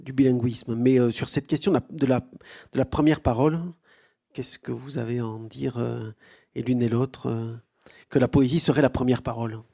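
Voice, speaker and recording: A man hosting a bookshop poetry talk, halting and full of fillers and repeats. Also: mid-range voice, steady tone, vocal fry, warm tone. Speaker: event host. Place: bookshop event room.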